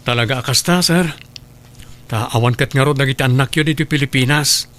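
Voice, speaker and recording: A man's voice: mid-range voice, brisk, a bit bright, a little hiss or hum, lightly acted. A man playing a devoted, respectful younger caregiver in a radio drama, with an Ilocano Filipino accent. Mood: earnest, reassuring.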